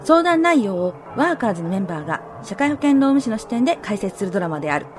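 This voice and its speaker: A woman's voice: mid-range voice, big pitch swings, fast, soft background music. A woman working as a radio program navigator, introducing segments in a scripted presenting style.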